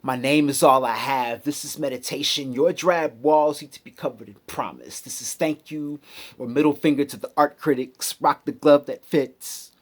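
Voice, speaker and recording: A man performing spoken word poetry with a hip-hop cadence, rhythmic and emphatic, pausing deliberately between phrases. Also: mid-range voice. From United States city.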